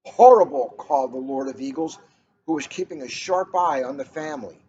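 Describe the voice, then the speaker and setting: A man reading a children's story aloud in an expressive, dramatic way, drawing out key words for emphasis. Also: medium pitch, a little fast. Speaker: storybook reader. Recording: noisy, dull lo-fi recording.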